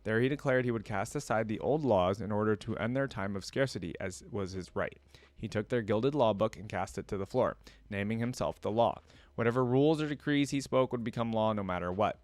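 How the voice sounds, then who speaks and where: A man's voice: lowish voice, a little fast, darkish tone, dry recording. A man hosting a reaction video, reading a story aloud and commenting casually in slangy asides.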